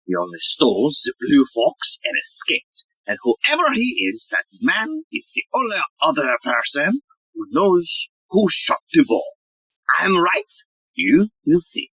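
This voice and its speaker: Man acting stubborn detective, husky, hugely animated intonation, self-assured, theatrical audio play, close dry sound. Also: on the high side, unhurried, no room sound, heavily acted, low-quality recording. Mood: defiant. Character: stubborn, cocksure male detective inspector with grand theories.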